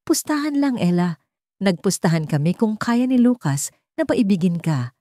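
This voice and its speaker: A woman's voice: medium pitch, very animated, moderate pace, warm tone, no echo, clean studio sound, pitched above the performer's own voice, lightly acted. A woman voicing a callous young man who gloats bluntly in a romance story. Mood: callous, mocking. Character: callous young man, heroine's crush's gloating best friend.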